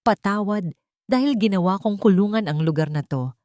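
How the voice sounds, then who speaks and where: A woman's voice: medium pitch, animated, normal speed, mellow, dry recording, clean studio sound, pitched above the performer's own voice, natural voice. A woman voicing an earnest, remorseful father in love in an audiobook, solemn and heartfelt. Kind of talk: dramatic audiobook dialogue.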